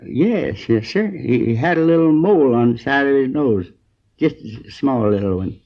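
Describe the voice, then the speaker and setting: An American man playing an injured, groggy storekeeper in his sixties, rambling haltingly and earnestly in a 1950s radio crime drama. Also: medium pitch, expressive. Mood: straining to recall. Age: 60s. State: groggy, in pain. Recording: dull old 1950s radio recording.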